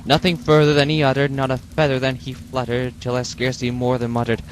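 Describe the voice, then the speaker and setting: Brooding male reader over rain noise. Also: medium pitch. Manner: slow, brooding, theatrical delivery. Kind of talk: dramatic poetry reading. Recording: steady rain noise behind voice.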